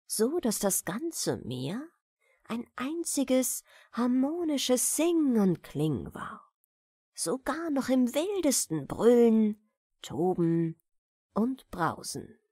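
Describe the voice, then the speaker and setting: A woman narrating a fairy tale as an audiobook storyteller, deliberate and expressive, with dramatic pauses. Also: on the high side, husky, no echo, clean studio sound. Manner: deliberate, dramatic pauses between short phrases.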